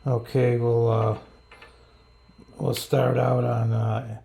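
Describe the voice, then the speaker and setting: A man's voice: medium pitch, little pitch movement, slow pace, vocal fry, warm tone, echoey room. A man giving a casual hands-on product review, rambling and thinking aloud as he grumbles about drawbacks. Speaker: radio reviewer.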